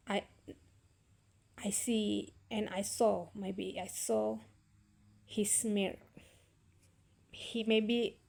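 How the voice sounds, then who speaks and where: Young woman, Indonesian accent, very slow and halting, mellow voice, lots of fillers. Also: mid-range voice. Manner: halting, searching for words, many fillers and restarts. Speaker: vlogger. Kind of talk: casual storytelling to camera.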